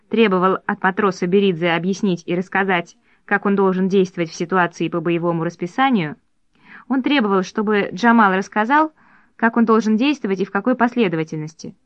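A woman reading an audiobook story aloud in calm, measured narration. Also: mid-range voice, dry recording, dull audio. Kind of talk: scripted narration.

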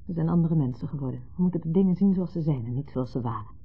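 A muffled old radio play recording of a composed wife with a Netherlands Dutch accent. Her voice sits low and is slightly rough. She is terse and matter-of-fact, evasive in a 1970s radio drama manner. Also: lively intonation, fast, full character voice. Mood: firm, evasive. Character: composed, evasive woman between husband and former lover.

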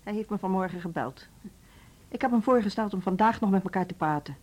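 A woman's voice: medium pitch, lively intonation, brisk, a little mellow, natural voice. An actress playing a strict female school director in a radio drama, who speaks in a cool, curt, businesslike way.

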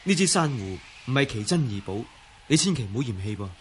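Casting: male actor as earnest young Cantonese gentleman hero, courteous and fond, middle register, radio drama. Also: medium pitch, sing-song, a little fast, slight background noise, close to an everyday voice.

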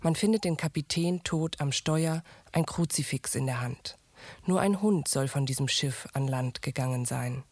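A woman's voice: very deep voice, moderate pace, darkish tone, husky, no room sound. A woman reading scripted narration that introduces a radio drama.

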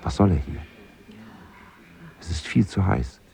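A man's voice: sits low, animated, unhurried, creaky, mellow, heavily acted. A man narrating a children's radio play as a composed storyteller, reading from a script.

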